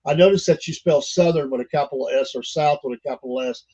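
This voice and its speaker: A man's voice: a little high, fast talker, warm tone, slight background noise. An older man hosting a podcast, chatting in a folksy, rambling way full of fillers. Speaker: podcast host. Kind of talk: casual interview chat.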